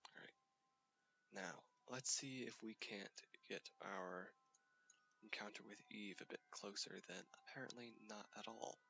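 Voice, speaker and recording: A man's voice: fairly low pitch, a little slow, creaky, bright, forceful tone, dull audio, slight background noise. Sniffly young man rambling over gameplay. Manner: rambling, hesitant, many fillers. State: stuffy from a cold, sniffly. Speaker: gaming channel host. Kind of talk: casual gameplay commentary.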